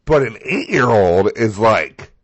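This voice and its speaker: A man co-hosting a comedy podcast, talking in a froggy, hoarse voice, rambling and swearing casually. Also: lowish voice, very animated, slow. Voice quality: froggy, gravelly voice. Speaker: podcast co-host.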